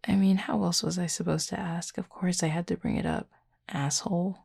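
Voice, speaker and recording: Woman voicing a husky, flirty werewolf, deeply gravelly. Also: darkish tone, exaggerated voice. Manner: dry, teasing, unhurried, wordy. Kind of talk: intimate audio roleplay, late-night chat.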